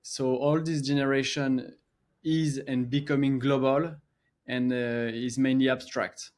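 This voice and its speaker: A man's voice: mid-range voice, little pitch movement, a little mellow. Male guest, French accent, middle-aged, hesitant interview delivery. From France. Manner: halting, many filler pauses and restarts. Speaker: co-founder and gallery guest. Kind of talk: podcast interview.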